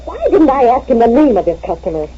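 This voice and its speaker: A jealous wife in an old radio comedy, high-pitched and swooping as she fires off suspicious questions. Her voice is soft and mellow, heard through a dull lo-fi old radio recording. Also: very animated, breathy edge. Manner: rapid interrogating, accusing. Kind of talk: 1940s radio acting.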